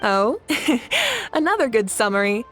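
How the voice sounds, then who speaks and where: A woman's voice: mid-range voice, expressive, normal speed, soft background music, close to an everyday voice. A woman playing a confident, good-humored warrior ruler in a video game, composed, assured and lightly teasing. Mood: amused.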